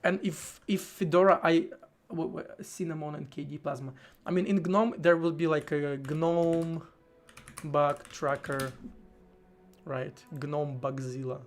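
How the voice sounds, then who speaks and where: A man live-streaming while he codes, thinking aloud in a halting way, with many fillers and restarts. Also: a little high, steady tone. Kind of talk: casual live-stream talk while coding.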